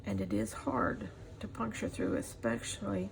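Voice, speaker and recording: A middle-aged woman giving a casual craft demonstration as she works, pausing often to think. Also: medium pitch, fairly even intonation. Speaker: craft tutorial host. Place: indoors at a craft table. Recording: clear background noise, room ambience.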